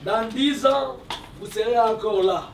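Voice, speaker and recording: A man's voice: extremely high pitch, measured pace, noisy background. A man preaching as a prophet, emphatic, repeating his key phrases and laughing between lines, with a congregation answering. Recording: echoey room, distant mic, crowd responses. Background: congregation answering amen.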